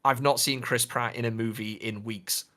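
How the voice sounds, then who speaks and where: A man's voice: medium pitch, fairly even intonation, normal speed, sharp tone. Man chatting casually about pop culture. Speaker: podcast co-host. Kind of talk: casual chat about films and games.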